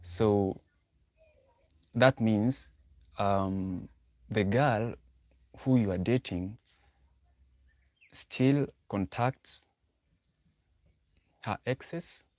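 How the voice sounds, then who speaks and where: A man's voice: fairly low pitch, very slow, pauses often, darkish tone, no echo. A young man hosting a livestream, talking casually about dating advice, repeating himself as he thinks aloud and answering chat. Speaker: livestream host.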